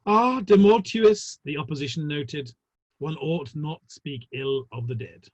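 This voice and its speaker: A man playing a wry, gossipy, worldly Victorian theatrical gentleman in a play reading, with formal phrasing and dry wit. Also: on the high side, measured pace, no echo, poor audio. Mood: wry, amused. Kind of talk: online dramatic play reading.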